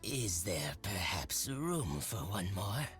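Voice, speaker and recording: A man's voice: sits low, big pitch swings, slow, fairly bright tone, faint music behind, full character voice. A man voicing a sinister, greedy poacher in a video game, sly and menacing.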